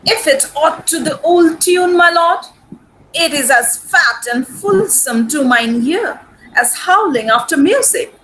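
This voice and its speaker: A woman's voice: high-pitched, animated, slow, fairly bright tone, slight background noise, heavily acted, rough recording. A woman playing an ardent, commanding young countess in a dramatic reading of Shakespearean comedy. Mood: scornful.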